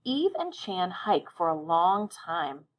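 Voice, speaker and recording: A woman's voice: lowish voice, sing-song, takes it slowly. Woman teacher leading a children's read-aloud. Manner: encouraging, addresses children directly with check-in questions. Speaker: elementary reading teacher.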